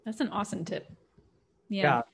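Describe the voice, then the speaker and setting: A woman in her thirties hosting a meetup over a video call, reading out questions and responding in an unhurried, encouraging way. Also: mid-range voice, animated, creaky, slight background noise. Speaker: host. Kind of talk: casual video call chat. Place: room at home. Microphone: video call with earbuds and desk mic.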